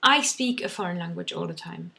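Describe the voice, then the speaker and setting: A woman's voice: lowish voice, lively intonation, brisk, creaky, pushed and bright. A young woman whose first language is German, talking casually to camera in a rambling way, full of ums and restarts. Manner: chatty, many ums and restarts. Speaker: vlogger.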